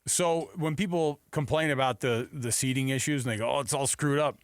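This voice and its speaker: A man's voice: medium pitch, brisk, no room sound. Male sports radio host, dry close sound. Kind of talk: casual sports talk.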